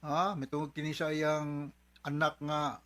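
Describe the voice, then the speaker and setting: Higher-voiced Cebuano man hosting radio advice. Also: level tone, unhurried, fairly bright tone. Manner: repeats phrases, checks in with tag questions. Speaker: host, doctor giving advice. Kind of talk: conversational radio advice talk.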